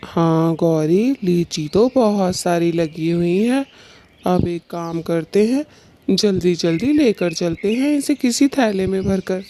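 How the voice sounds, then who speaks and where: A woman voicing a sly, boastful male bird thief, an agreeable husband in a children's cartoon, talking slowly and smugly. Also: fairly low pitch, mellow. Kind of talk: Hindi children's cartoon dialogue.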